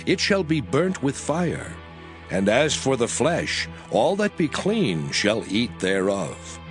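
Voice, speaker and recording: Solemn guy reading scripture slow and measured, voice moves up and down a lot, soft music under it. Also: mid-range voice, very animated. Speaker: Bible narrator.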